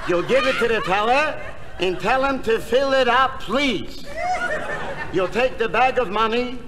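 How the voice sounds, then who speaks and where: A man playing an exasperated, self-important bank-robber boss in a comedy sketch, condescending and deliberate. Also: very high voice, a crowd in the background. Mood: bossy, instructing. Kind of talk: 1960s comedy album sketch acting. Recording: noisy, reverberant 1960s comedy record.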